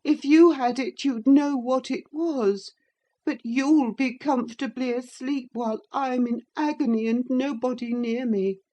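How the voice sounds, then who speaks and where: A woman's voice: sits high, fairly even intonation, measured pace, mellow, voice pushed up. A woman voicing a sickly, spiteful, self-pitying boy with a whining, pettish manner in a storybook reading. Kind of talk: dramatised classic novel reading.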